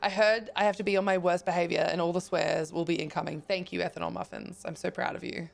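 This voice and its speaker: Woman, croaky fry-laced voice, lowish pitch, casual live-stream chatter, faint music behind. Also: level tone, fast. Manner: chatty, talks to chat and thanks viewers. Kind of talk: casual live-stream chat while gaming.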